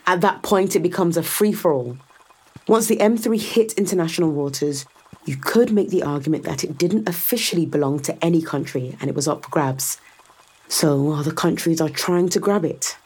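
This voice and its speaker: A woman's voice: on the low side, darkish tone, breathy edge. A woman playing a powerful, calculating executive in a science fiction audio drama, sardonic and controlled as she persuades and explains. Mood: grave, matter-of-fact.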